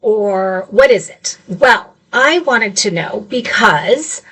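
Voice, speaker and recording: A woman in her fifties hosts a live shopping stream from a home office, chatty and upbeat as she talks straight to her viewers. Her voice sits at an ordinary middle pitch. The webcam mic is set a little back from her. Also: lively intonation, slow pace, a bit bright, husky, far from the mic, rough recording. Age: 50s. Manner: chatty, upbeat, addresses chat directly.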